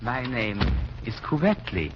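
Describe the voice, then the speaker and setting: An actor playing a courteous, insistent foreign traveler who speaks formally and haltingly in an old-time radio drama. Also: male voice, medium pitch, sing-song. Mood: polite, insistent. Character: polite, insistent foreign male cabin-mate, smoothly evasive. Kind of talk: 1940s radio acting. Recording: muffled, noisy old radio recording.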